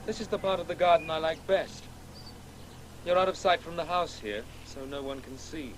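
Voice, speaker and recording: An actor playing a precocious schoolboy in a radio play, earnest, confident and articulate. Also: male voice, a little high, normal speed, slight background noise, natural voice. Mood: earnest, chatty. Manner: articulate, insistent, eager. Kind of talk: naturalistic radio play dialogue.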